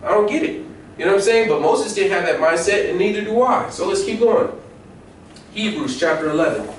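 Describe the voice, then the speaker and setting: An American man delivers an informal sermon in a fairly high voice. He moves between scripture reading and emphatic, colloquial commentary, repeating phrases for stress. The recording is heavily echoey and distant, as in a bare room. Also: animated, brisk. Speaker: preacher. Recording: very echoey room, distant mic, faint noise.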